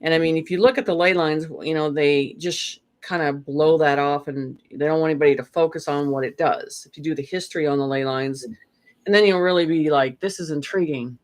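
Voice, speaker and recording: A guest talks loosely and at length in an easy, unscripted spiritual chat. The voice sits at an average pitch, and the speech rambles with frequent fillers and self-corrections. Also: steady tone, brisk. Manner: rambling, full of "you know" and restarts. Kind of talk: casual spiritual conversation.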